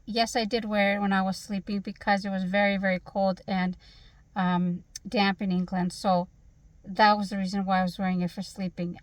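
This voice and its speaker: A woman's voice: medium pitch, dry recording. A woman vlogger answering viewer questions to camera in an earnest, emphatic way, often posing rhetorical questions. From Canada. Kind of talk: direct-to-camera Q&A monologue.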